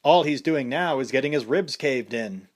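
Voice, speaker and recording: A man voicing an excited male fight announcer calling a boxing match play by play, raised high and loud above his own voice. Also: moderate pace, lightly acted. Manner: breathless play-by-play.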